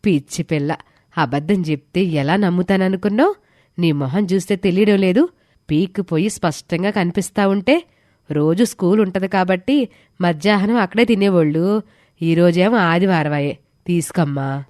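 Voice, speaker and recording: A woman's voice: on the low side, moderate pace, a little mellow, dry recording, studio quality, natural voice. A woman voicing a kindly, insistent village aunt with a motherly, coaxing manner in a moral story. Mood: caring, insistent.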